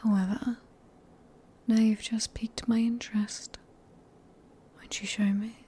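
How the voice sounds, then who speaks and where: A woman with a British accent playing a sadistic, possessive vampire in a whispered ASMR roleplay, speaking in a breathy, teasing, genteel and condescending manner. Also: on the high side, flat delivery, a little slow, warm tone. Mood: mocking curiosity. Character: sadistic, possessive female vampire, silkily polite and manipulative.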